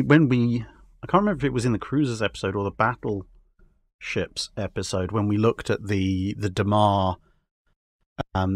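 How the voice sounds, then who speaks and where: A man chatting casually as a guest on a fan discussion show, building long, jokey speculative explanations with stumbling starts. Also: fairly low pitch.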